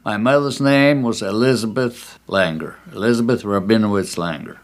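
An older man in his eighties, originally from Poland, speaks with a somewhat low voice. He answers questions slowly and thoughtfully, recalling his past in an interview recorded at his home. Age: 80s. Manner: measured, reflective recollection. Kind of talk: oral history interview.

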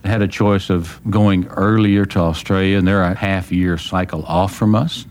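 A man from small-town Texas with a Texan accent, giving plain-spoken, measured recollections as an interview guest. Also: deep voice, steady tone. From small-town Texas, United States. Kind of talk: reflective personal recollection in an interview.

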